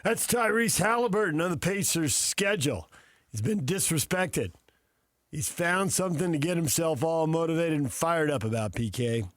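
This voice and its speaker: A man with a slightly high voice co-hosts a sports talk show. He talks in a casual, bantering way, recorded close and dry. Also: animated, normal speed, dry recording. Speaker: sports radio co-host.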